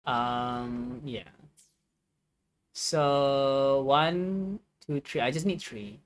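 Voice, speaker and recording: A game streamer giving casual live commentary, thinking aloud in a hesitant, halting way. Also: medium pitch, poor audio.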